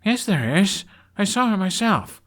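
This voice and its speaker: Guy doing an anxious young prince, voice pitched way up, pleading and urgent, storybook drama. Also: sing-song, a little slow, a bit bright, heavily acted. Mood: frustrated, pleading. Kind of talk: dramatized fan fiction reading.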